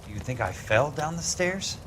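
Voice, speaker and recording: An actor playing a tough, wry investigator in a noir video game, with a dry, terse, sardonic delivery. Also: male voice, a little high, expressive, moderate pace, a little hiss or hum, lightly acted. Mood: sardonic, defiant. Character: wry, tough, wisecracking male investigator recovering from injuries.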